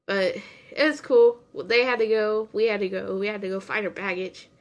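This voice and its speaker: A woman's voice: mid-range voice, fairly even intonation, normal speed. A young woman hosting a casual podcast, chatting in a rambling way with many restarts while she has a runny nose and sniffles. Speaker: podcast host reviewing anime. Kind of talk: casual chat.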